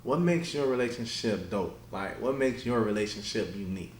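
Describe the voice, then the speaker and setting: A man's voice: on the low side, expressive, normal speed. Male host, chill slangy questions, laughs a lot, echoey room w faint hiss. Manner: loose, slangy, laughs easily. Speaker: podcast host. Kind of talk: casual interview.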